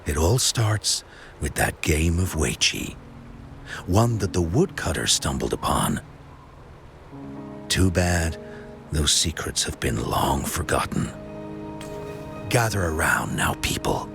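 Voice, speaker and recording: An actor playing a theatrical storyteller in a video game, spinning legends with measured, mysterious drama. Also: male voice, very deep voice, sing-song, vocal fry, music in the background, exaggerated voice. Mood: grand, inviting. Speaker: storyteller character.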